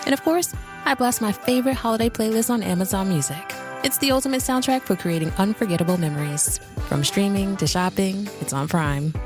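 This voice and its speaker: A woman reads a scripted advertisement in an upbeat style. Her pitch is average, and a guitar music bed plays clearly behind her. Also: fairly quick. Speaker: advertisement reader.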